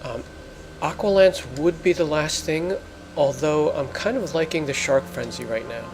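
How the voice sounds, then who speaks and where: A man giving halting, explanatory let's play commentary as host of a gaming video. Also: a little high, music in the background.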